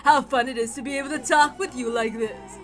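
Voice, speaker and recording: High, gleeful, taunting young witch. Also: steady tone, fairly bright tone, soft background music. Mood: gleeful exultation. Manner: theatrical, drawn-out words, cackling laughter.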